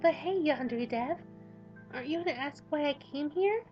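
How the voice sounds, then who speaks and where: A woman voicing a bubbly, eager young schoolgirl in a high, chirpy, squeaky voice. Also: animated, normal speed, muffled recording, soft background music. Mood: excited.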